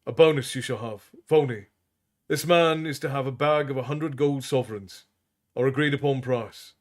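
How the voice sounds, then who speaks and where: A man voicing a composed, authoritative prince who speaks calmly and low. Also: normal speed, lightly acted. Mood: commanding. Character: composed prince, commanding and wry.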